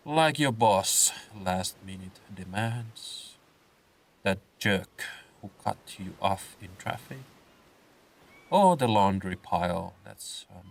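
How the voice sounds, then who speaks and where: A man narrating a scripted guided meditation in a soothing, unhurried way, with phrases set apart by pauses. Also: deep voice, creaky, recorded from a distance. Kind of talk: slow scripted guided meditation with comic swearing.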